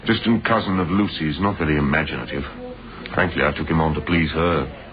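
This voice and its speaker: An actor playing a brisk, driven, impatient businessman who runs a frozen-food firm, in a radio drama. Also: a bass voice, a crowd in the background. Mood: suave, businesslike. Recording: noisy, muffled old radio drama recording.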